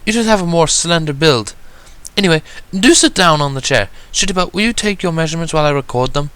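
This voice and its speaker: Genteel female pony dressmaker, performed by a man, refined and raised in pitch, bright, drawn-out emphasis. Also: lively intonation, smooth. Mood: poised.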